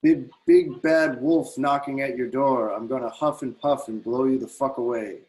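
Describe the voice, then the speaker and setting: A man's voice: medium pitch, fairly even intonation, normal speed, darkish tone, lightly acted, low-quality recording. A man voicing a rowdy, defiant man who taunts police officers in a script reading. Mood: taunting. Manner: mocking, belligerent taunts. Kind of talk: readers theatre script reading.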